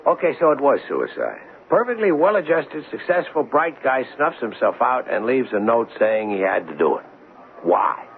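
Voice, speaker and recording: A man acting a blunt, suspicious police sergeant in a 1970s radio drama, with gruff, clipped, informal talk. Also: mid-range voice, big pitch swings, normal speed. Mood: skeptical. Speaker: actor in a radio mystery drama. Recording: muffled, band-limited, noisy old radio recording.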